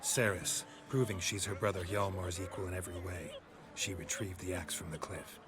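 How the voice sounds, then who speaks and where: Deep, deadpan monster hunter played by a man. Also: normal speed, natural voice. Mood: dry, unhurried. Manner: terse, deadpan. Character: stoic, dry-humored monster-hunting witcher, adult man. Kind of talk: cinematic fantasy game acting.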